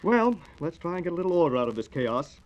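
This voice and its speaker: An actor playing a fussy, exasperated husband with a sarcastic, nagging manner in a 1940s radio sketch. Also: male voice, fairly high pitch, very animated, fast, lo-fi sound, slight background noise. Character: fussy, exasperated husband going over the household budget.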